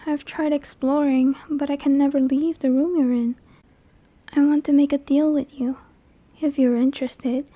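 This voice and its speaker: A woman voicing a lonely, clingy female sleep paralysis demon in an ASMR roleplay, soft and breathy, hesitant and intimate, often near a whisper, close to the microphone. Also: high voice, dry recording. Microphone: close microphone, dry.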